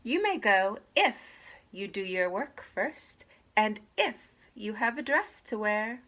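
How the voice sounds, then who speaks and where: A woman's voice: medium pitch, lively intonation, slow pace, a bit bright. A woman voicing a commanding, falsely sweet stepmother in a children's storybook, giving imperious orders.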